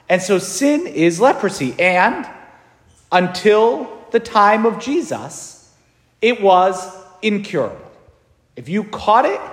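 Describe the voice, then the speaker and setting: Chatty priest in echoing church. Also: male voice, high voice. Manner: casual, chatty, many fillers and tag questions. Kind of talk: conversational homily. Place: large echoey church. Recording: echoey church with long reverb.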